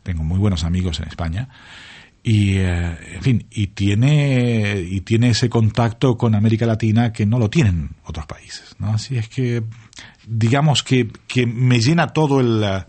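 An older man chatting as a guest in a radio interview, reflective and unhurried, with many fillers and tag questions. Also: on the low side, a little mellow, slightly rough. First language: Italian is his second mother tongue. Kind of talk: relaxed conversational interview.

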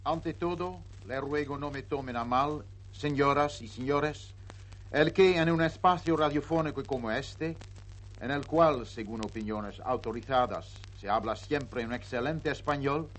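A man with a Dutch accent, Spanish not his first language, giving a measured, ceremonious prepared speech as a radio program director. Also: medium pitch, slight background noise, poor audio.

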